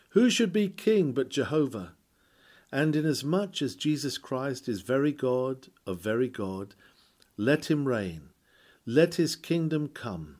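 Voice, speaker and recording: A man reading an old sermon aloud in a solemn, declamatory way, with formal, measured and emphatic phrasing. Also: mid-range voice, darkish tone. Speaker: reader of a historical sermon. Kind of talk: sermon read aloud, formal scripted oratory.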